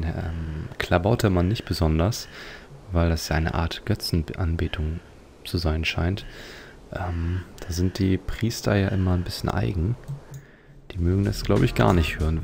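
Low, creaky-voiced man commentating. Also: darkish tone, a little hiss or hum. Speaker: let's player. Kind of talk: game commentary.

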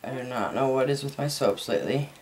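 A middle-aged woman chatting casually through a craft demonstration, low-key and half muttering to herself, recorded in a noisy room at home. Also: fast talker, a little mellow. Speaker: soap maker and host. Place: indoors at a home work table. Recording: noisy room, household background noise.

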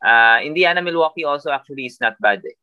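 A man's voice: on the high side, fast, clear, resonant tone, dry recording, narrow, tinny audio. A man with a Filipino accent co-hosting a fantasy basketball show, mixing Tagalog and English and thinking aloud with fillers. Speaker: fantasy basketball podcast co-host. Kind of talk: casual analytical chat.

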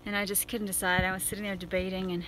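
Casting: tired middle-aged American woman, medium pitch, casual hiking vlogger, noisy outdoor phone sound. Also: little pitch movement, fast, fairly bright tone. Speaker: thru-hiker vlogger. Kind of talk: casual trail vlog to camera. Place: outdoors on a wooded mountain trail. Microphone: phone at arm's length.

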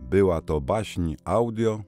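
Very deep-voiced male announcer. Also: animated, slow pace, warm tone, soft background music. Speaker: announcer reading credits. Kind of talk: scripted audiobook credits announcement.

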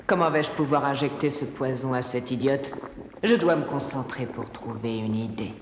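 An actress playing a haughty, menacing sorceress queen in a cartoon, with a deliberate, commanding delivery. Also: extremely low pitch, background noise. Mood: sinister, gloating. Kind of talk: theatrical cartoon villain dubbing. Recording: echoey hall reverb, music behind.

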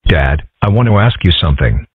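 A man voicing young animal boys in a cartoon comic dub, matter-of-fact and deadpan in delivery. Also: deep voice, a little fast, slightly rough, no room sound. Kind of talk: scripted comic-strip dub. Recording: dry, muffled phone-like audio.

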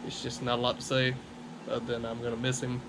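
A man in his forties, grieving, speaking in a subdued, halting way to a camera on an outdoor porch. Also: medium pitch. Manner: subdued, halting, flat delivery. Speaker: car channel host. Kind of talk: casual vlog talk to camera. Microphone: camera in front at table distance. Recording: steady background noise outdoors.

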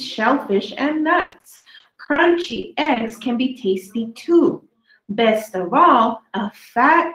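A woman with a fairly high voice reads a children's picture book aloud. She takes it very slowly, stretching words out for young listeners. The recording is clearly echoey, as in a small bare room. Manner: slow, deliberate delivery with drawn-out words for young listeners. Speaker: children's story reader. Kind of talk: read-aloud of a children's dinosaur poem book.

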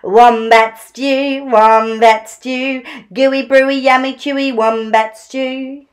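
A woman's voice: sits high, expressive, slow pace, pitched above the performer's own voice. A woman voicing a boastful, gleeful male dingo from a storybook, exclaiming in an exuberant, sing-song way.

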